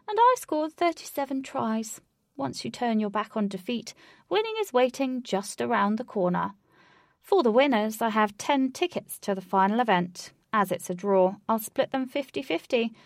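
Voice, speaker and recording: A woman's voice: fairly high pitch, animated, moderate pace, no echo, clean studio sound, voice pushed up. A woman voicing an elderly, proud male former player giving a speech in a storybook reading.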